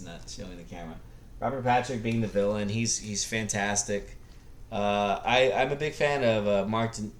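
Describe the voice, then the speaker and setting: A man's voice: fairly low pitch, a little hiss or hum. A middle-aged man giving casual, rambling opinions on a vlog, blunt and sweary, often tripping into false starts and starting over. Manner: blunt, profane, halting with repeated false starts. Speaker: host of a movie review vlog.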